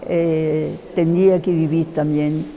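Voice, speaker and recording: A woman with a French accent answering an interview as a theatre translator, halting and searching for words. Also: deep voice, flat delivery, mellow. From France.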